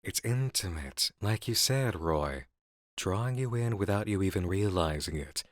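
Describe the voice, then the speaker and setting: Guy playing a deep voiced game dev, calm n calculating, slow deliberate wording, sinister focus, horror asmr roleplay, real dry close sound. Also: no room sound. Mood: seductive, brooding. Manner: measured, deliberate, articulate. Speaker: voice actor in an audio roleplay.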